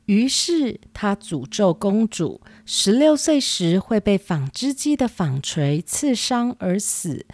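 A woman's voice: medium pitch, dark tone, no echo. A woman narrating a scripted children's fairy tale as the storyteller of an audio show. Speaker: storyteller, podcast host. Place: dry home recording over music.

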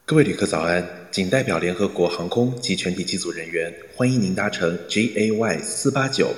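A man's voice: deep voice, brisk, a little hiss or hum, close to an everyday voice. A man playing a polite, formal cabin chief making announcements in a radio drama, with echoey reverb.

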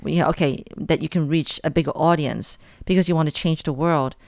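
A woman hosting a radio interview in a relaxed, chatty way. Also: sits low, brisk, vocal fry, no echo, studio quality. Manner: relaxed, chatty, laughs often. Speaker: radio show host. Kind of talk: casual interview.

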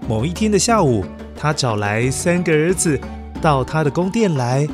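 Guy narrator doing an animated kids fairy tale, music playing behind him. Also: fairly high pitch, normal speed. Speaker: children's story narrator. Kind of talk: animated scripted storytelling.

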